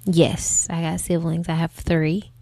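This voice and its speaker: An American woman with a slightly low voice talks in a casual interview. She is informal and reflective, working out her answers aloud as she goes. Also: little pitch movement, a little slow, dark tone. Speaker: interview guest, singer and rapper.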